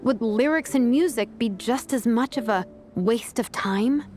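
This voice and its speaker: An actress playing a confident vice captain and teacher in a video game, speaking casually, diplomatically and persuasively. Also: female voice, fairly high pitch, measured pace, music in the background, close to an everyday voice.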